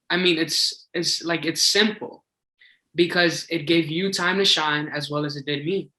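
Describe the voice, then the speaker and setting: Chatty young man with a bright voice. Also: fairly high pitch. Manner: chatty, eager, many "like" fillers. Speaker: singing contestant. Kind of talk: casual interview answers. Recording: echoey room.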